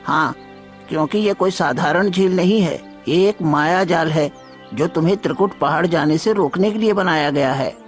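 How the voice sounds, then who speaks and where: A man plays a solemn talking deer, a magical guide in a moral tale. The voice is fairly high for a male, explaining wisely over light music. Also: moderate pace, lightly acted. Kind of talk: acted dialogue in Hindi moral story.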